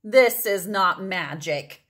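A woman voicing a doubtful, snickering boy in a children's storybook, with skeptical, drawn-out words. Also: mid-range voice, lively intonation, fairly bright tone.

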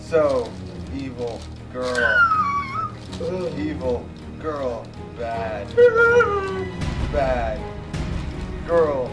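Man playing a slow, halting, wrathful merman king. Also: mid-range voice, wildly swooping pitch, over loud music, exaggerated voice. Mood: furious. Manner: halting, chanting short repeated phrases. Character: angry male merman sea king, a wrathful brooding father. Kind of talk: amateur puppet show verse.

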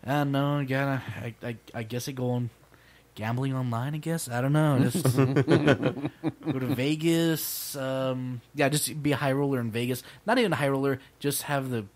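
A man's voice: medium pitch, steady tone, normal speed, darkish tone. A man hosting a casual podcast chat, rambling with lots of fillers and laughs. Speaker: podcast host.

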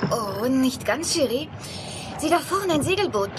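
High-voiced coquettish lady companion. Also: very animated, a little slow, over music, poor audio. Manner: coquettish, peppered with French endearments. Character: flirtatious, anxious lady companion on a yacht. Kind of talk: audio horror drama acting.

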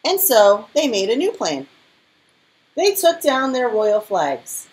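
Woman reading a storybook, highly animated. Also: takes it slowly. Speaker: storybook reader. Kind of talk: expressive children's read-aloud.

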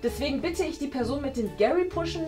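A young woman with a fairly high voice chats casually and ponders out loud in a playful, rambling way. She hesitates often as she talks her way to a guess. Some music plays behind her. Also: expressive, fast. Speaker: host and contestant guessing in a game. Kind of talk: casual chat, thinking aloud. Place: small indoor studio room. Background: background music.

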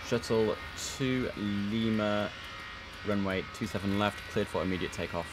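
A young man streaming a tower simulator, giving crisp controller calls between chatty asides. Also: lowish voice, measured pace, over music. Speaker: streamer playing air traffic controller. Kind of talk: gameplay commentary with radio phraseology. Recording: noisy game stream audio.

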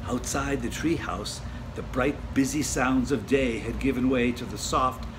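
An older man reading a children's picture book aloud in an expressive storytelling style, dropping to a hush for the spooky parts. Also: medium pitch, unhurried. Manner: gentle, expressive storytelling with hushed spooky passages. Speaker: storybook reader. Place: home room, indoors. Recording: home recording with steady hiss.

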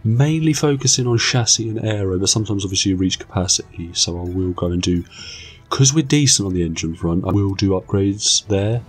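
A young man with a cold, croaky, giving excitable, jokey commentary over his racing game. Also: deep voice, a little fast, soft background music. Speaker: gaming channel host. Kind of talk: casual gameplay commentary.

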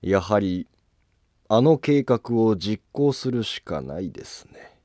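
A man playing a distrustful, resolute court noble with deliberate formal speech in a historical drama. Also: fairly low pitch, animated, warm tone, no room sound, clean studio sound. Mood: resolute, secretive. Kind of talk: scripted historical drama dialogue.

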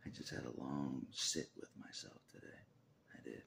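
A man's voice: a bass voice, steady tone, normal speed, creaky, a bit bright, a little hiss or hum. A man in his forties rambling in a personal vlog, with hesitant restarts, repeated phrases and half-whispered asides, filmed on a phone at arm's length in a small room. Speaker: religious vlogger.

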